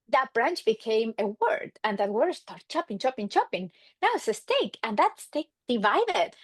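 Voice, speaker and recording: A woman's voice: sits high, no room sound. A middle-aged woman from Argentina, a native Spanish speaker with an Argentine accent, telling stories casually as an interview guest and acting out quoted exchanges. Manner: animated, acts out quoted exchanges. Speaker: guest, marriage and family therapist.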